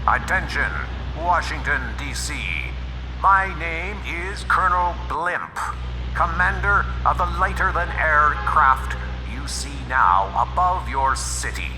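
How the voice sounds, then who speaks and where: A man's voice: a little high, expressive, slow, noisy background. A man playing a pompous, theatrical villain airship commander, delivering a mock-polite, menacing ultimatum in a comic dub. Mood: grandiose. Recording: long hall reverb, music underneath.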